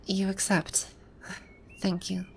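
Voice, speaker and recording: A woman playing a proud, teasing video game villainess come to life, speaking softly in an intimate ASMR roleplay. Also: mid-range voice, monotone, slow, slight background noise. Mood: shy, vulnerable. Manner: smug, teasing, soft-spoken with breathy asides. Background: birdsong and outdoor ambience sound effects.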